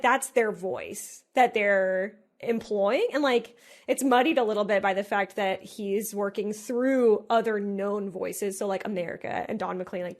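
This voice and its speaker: A woman co-hosting a podcast, musing in an animated casual chat full of "like". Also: medium pitch. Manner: animated, musing, heavy use of "like". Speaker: podcast co-host.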